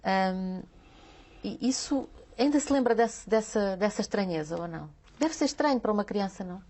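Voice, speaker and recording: Woman interviewer, mid pitch; probing, halting question style. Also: animated, warm tone. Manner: probing, restarts questions with hesitant repeats.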